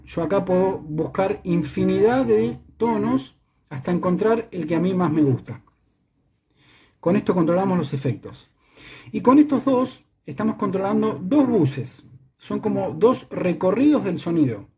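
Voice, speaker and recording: A man with an Argentine Spanish accent giving a methodical hands-on tutorial, repeating and rephrasing as he goes, his voice run through a voice changer. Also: warm tone. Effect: live voice changer, shifting in pitch and robotic tube-like tone. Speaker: electronics maker giving a demonstration.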